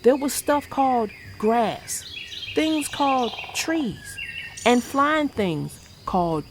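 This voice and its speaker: A woman reading a children's book aloud in an animated, expressive, sing-song way. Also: a little high, slow, background noise. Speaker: storyteller.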